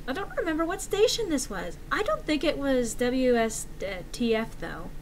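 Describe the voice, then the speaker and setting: Woman as an excitable, higher-pitched forum poster. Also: moderate pace, a little hiss or hum. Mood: excited recall. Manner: animated, conversational, emphatic. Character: excitable forum poster nostalgic about an eerie kids show. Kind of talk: dramatic reading of forum posts.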